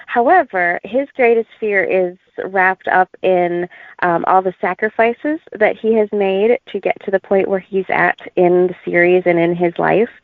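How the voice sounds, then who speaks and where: A woman's voice: medium pitch, unhurried, no echo. A woman author chatting as a guest in an interview, with lots of ums and frequent laughs. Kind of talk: casual interview over a call. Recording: tinny phone-like line.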